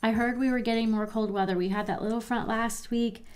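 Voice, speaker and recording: A woman from Baltimore hosting a crafting livestream, chatting softly and a little stuffy as she reads viewers' comments. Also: on the high side, fairly quick, clean tone. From Baltimore, Maryland. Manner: relaxed, chatty, reads viewer comments aloud with small laughs. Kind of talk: soft-spoken live crafting chat.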